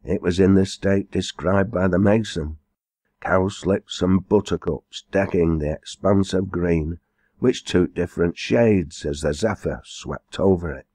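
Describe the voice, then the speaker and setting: A man reading a storybook aloud as scripted narration, in a measured, formal storytelling manner. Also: deep voice, dark tone, dry recording. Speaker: audiobook reader.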